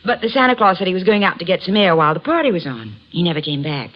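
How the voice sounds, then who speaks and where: Poised, flirtatious society wife. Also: female voice, lowish voice, big pitch swings, quick pace. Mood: explaining. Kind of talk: 1950s radio drama acting. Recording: dull old radio recording.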